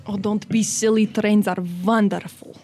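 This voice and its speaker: A woman's voice: mid-range voice, level tone, warm tone, faint music behind, natural voice. A young woman playing a very old, commanding female Russian princess with a put-on Russian accent. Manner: commanding, brisk.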